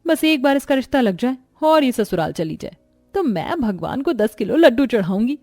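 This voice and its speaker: A scheming middle daughter-in-law, voiced by a woman in a cartoon household story. She is sly and teasing, a touch high in pitch. The sound is dry. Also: big pitch swings, moderate pace, a little mellow, no echo.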